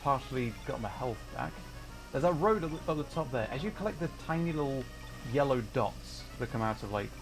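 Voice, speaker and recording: Man, medium pitch, casual gaming livestream, explanatory, excitable, prominent video game music in background. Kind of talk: casual live gaming commentary, chatting with viewers.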